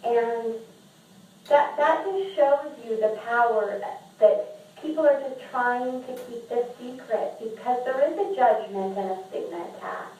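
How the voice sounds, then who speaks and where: A woman's voice: on the high side, measured pace, mellow. A woman speaking as a podcast guest and memoir author, talking candidly and earnestly, often restarting and repeating words. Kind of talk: candid conversational interview. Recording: echoey room, distant mic.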